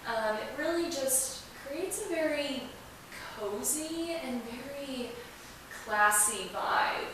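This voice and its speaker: A woman's voice: high-pitched, takes it slowly. A woman speaking casually as an interview guest, enthusiastic and emphatic about what she describes. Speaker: interview guest, museum representative. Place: indoors in an old museum building. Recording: very echoey room, distant mic, hiss.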